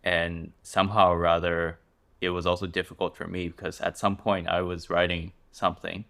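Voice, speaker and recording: A man hosting a podcast interview, asking questions in a thoughtful, measured way with some restarts. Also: sits low, little pitch movement, gravelly, a bit bright. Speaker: podcast host. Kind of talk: interview conversation.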